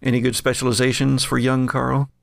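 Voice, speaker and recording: Male game master for a horror tabletop podcast: deeply creaky voice, deliberate scene-setter, dry recording. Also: completely flat delivery, no room sound. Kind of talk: narrating a tabletop horror game, with casual chat.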